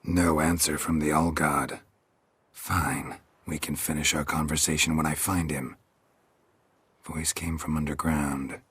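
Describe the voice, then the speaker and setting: An actor playing a gruff, dry-witted monster hunter in a video game, with a husky, deadpan, laconic delivery. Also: male voice, extremely low pitch, unhurried, heavily acted. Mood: dry, unimpressed. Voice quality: husky, raspy. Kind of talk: video game character acting.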